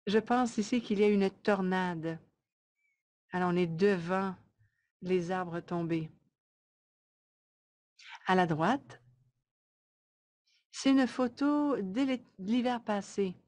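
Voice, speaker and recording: A woman speaks at a medium pitch. She sounds like a live interpreter relaying a webinar presentation. Her delivery is measured and a little halting, arriving in short phrases. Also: darkish tone.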